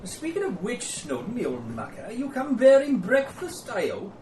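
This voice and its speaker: Man acting matey British soldier, wry hungry grumbler, extremely high swooping voice, radio drama, noisy recording. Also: huge pitch swings, normal speed, darkish tone, full character voice. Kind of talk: dramatic dialogue in an audio play. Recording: roomy, distant, clearly noisy recording.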